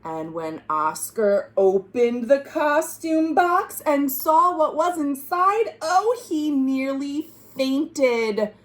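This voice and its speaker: A woman hosting a children's storytime, reading aloud expressively and pausing to explain words and ask the listeners questions. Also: high-pitched. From United States.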